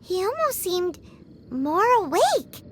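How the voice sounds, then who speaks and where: A tiny floating fairy girl for an anime video game, extremely high and squeaky. She is excitable and naive, exclaiming in lively swoops. There is clear noise with clicking behind the voice. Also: slow pace, full character voice. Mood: curious, musing. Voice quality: squeaky, childlike. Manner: speaks of herself in third person, exclamatory.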